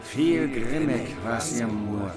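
A man's voice: medium pitch, takes it slowly, lightly acted. A man reciting medieval verse as a bard in a radio play. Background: prominent music.

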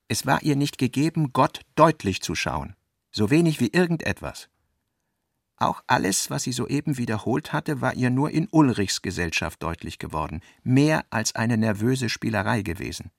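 Man narrating literature in a creaky, dry voice. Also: mid-range voice, very animated, normal speed, no room sound. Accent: Standard German. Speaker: narrator of a literary radio production.

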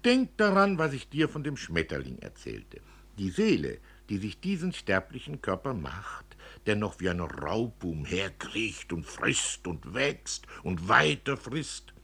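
A man reading the part of a tender, solemn father who muses gently and philosophically about death in a literary story. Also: on the low side, huge pitch swings, unhurried, creaky, husky, heavily acted. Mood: rapturous, earnest. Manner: earnest, murmuring, philosophical.

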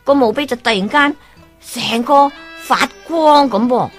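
A fretful young manservant rushes to his master with urgent news, played by a woman storyteller. Her voice rises well above her own for him, quick and worried. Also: sits high, soft background music, voice pushed up. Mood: alarmed.

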